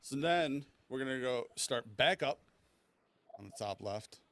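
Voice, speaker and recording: A man's voice: mid-range voice, lively intonation, unhurried, fairly bright tone, slight background noise. A man hosting a podcast in a casual, rambling and informal way as he debates movies. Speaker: podcast host.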